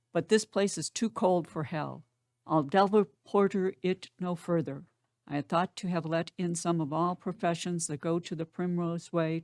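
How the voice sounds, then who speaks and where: A woman's voice: on the low side, no room sound, natural voice. A woman playing a rambling, hungover male porter in a dramatic Shakespeare reading, bawdy and sardonic in a theatrical comic manner. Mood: weary, sardonic. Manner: theatrical, muttering comic monologue.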